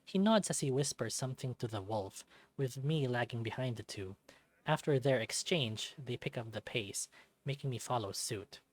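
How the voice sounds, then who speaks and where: A man reading a visual novel aloud as expressive first-person scripted narration. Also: mid-range voice, moderate pace.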